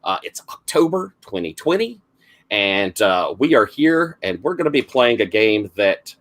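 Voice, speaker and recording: Southern game master: middle-aged man, gravelly, rambling and full of fillers, talking over an online call. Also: lowish voice, sing-song, a little slow. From South Carolina, US. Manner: rambling, lots of fillers and restarts. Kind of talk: casual introduction of a game.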